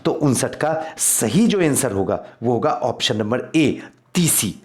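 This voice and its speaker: Bihari guy teacher doing exam q and a, really lively up and down voice, repeats answers. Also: mid-range voice, measured pace. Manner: instructive, repeats key answers for emphasis. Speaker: history teacher for civil service exam coaching. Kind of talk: exam quiz lesson, reading questions and explaining answers.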